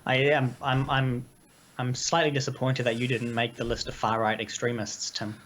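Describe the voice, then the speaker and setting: A man co-hosting a political talk stream, slightly hoarse from illness and speaking in a halting way with many hesitations. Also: medium pitch, level tone, a bit bright. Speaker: co-host of a political livestream.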